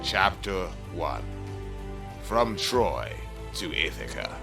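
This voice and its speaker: A man's voice: low voice, big pitch swings, slow pace, gravelly. A man narrating an epic audio drama in a solemn, portentous, scripted storytelling style. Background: music.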